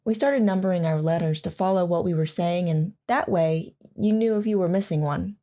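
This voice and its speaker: A woman playing a devoted military wife in an audio drama, gently and wistfully recalling life with her husband. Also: lowish voice, normal speed, close to an everyday voice. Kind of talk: audio drama acting, reminiscing narration.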